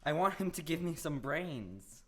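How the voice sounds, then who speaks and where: A woman's voice: sits low, big pitch swings, measured pace, fairly bright tone, exaggerated voice. A woman playing a kindly, simple, cheerful scarecrow in an audio play. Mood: earnest.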